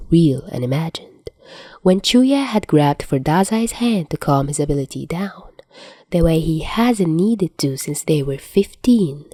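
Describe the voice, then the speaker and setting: A woman reading a story aloud as an audiobook narrator, in an expressive scripted style. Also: low voice, husky, no echo. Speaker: fan fiction audiobook narrator.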